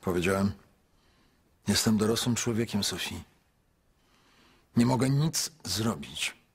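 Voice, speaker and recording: A male actor playing a grown son in a radio drama, speaking in a measured, heavy, quietly pleading way. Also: on the low side, expressive, breathy edge, full character voice. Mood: weary, pleading. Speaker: son narrating his story.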